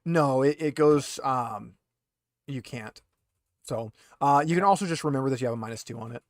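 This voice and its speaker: A man running a tabletop roleplaying game, explaining rules and narrating in a casual, halting way as he thinks aloud. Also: mid-range voice, dry recording, studio quality. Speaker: game master.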